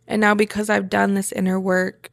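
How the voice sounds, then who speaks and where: Woman with a creaky voice, reflecting personally. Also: mid-range voice, little pitch movement, moderate pace, no echo, clean studio sound. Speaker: vlogger sharing a personal journey. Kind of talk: reflective personal monologue to camera.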